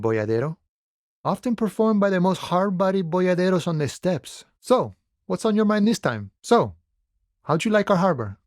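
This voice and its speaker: An actor playing an easygoing, wily striking dockworker in a video game, with folksy cowboy swagger and a theatrical, friendly drawl. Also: male voice, on the high side, a little mellow, no room sound, studio quality. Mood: playful, musing. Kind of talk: video game character dialogue.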